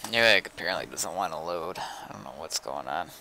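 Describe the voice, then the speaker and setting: A man's voice: deep voice, lively intonation, fairly quick, bright, forceful tone, a little hiss or hum. A tired man chatting casually while streaming a game. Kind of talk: casual chat while gaming.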